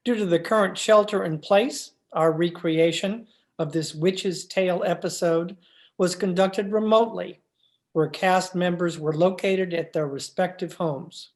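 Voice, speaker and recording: A man working as an announcer, reading scripted closing credits in a formal, measured manner. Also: sits high, steady tone. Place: at home, remote session.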